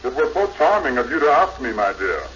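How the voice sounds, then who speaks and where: A dull old radio recording with faint noise. a pompous literary gentleman, affected and genteel, speaking in a mid-pitched voice with scholarly airs. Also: very animated, a little fast. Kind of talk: 1950s radio sitcom acting.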